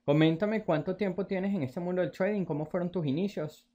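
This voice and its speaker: A man's voice: high voice, brisk, mellow, highs cut off. A man hosting a casual interview as a teacher, asking questions and repeating words for emphasis. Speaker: interview host, trading teacher.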